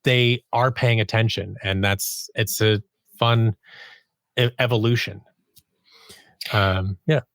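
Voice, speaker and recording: A man's voice: lowish voice, steady tone, takes it slowly, long pauses, a bit bright, dry recording. Man chatting casually as an interview guest. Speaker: guest, audio drama writer, producer and actor.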